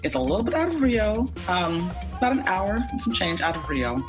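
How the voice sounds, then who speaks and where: Woman telling a lively personal story. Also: mid-range voice, fairly quick, music in the background. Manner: lively, animated quoting of others. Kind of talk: personal anecdote told to an audience.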